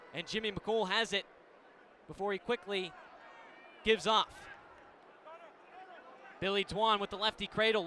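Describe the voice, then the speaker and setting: A man's voice: high voice, sharp tone, slight background noise. An American man doing live lacrosse play-by-play on radio, speaking in clipped, descriptive phrases.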